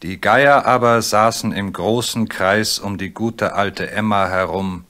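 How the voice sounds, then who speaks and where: A man's voice: fairly low pitch, fairly even intonation, unhurried, a bit bright. A man narrating a children's radio play in German as a scripted storyteller. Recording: faintly noisy, cricket chirps behind.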